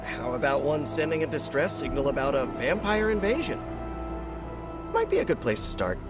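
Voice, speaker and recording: A man's voice: a little high, big pitch swings, moderate pace, over loud music. An actor playing a breezy, sarcastic male superhero in a video game, with flippant, casual delivery. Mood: flippant, helpful. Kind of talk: video game dialogue acting.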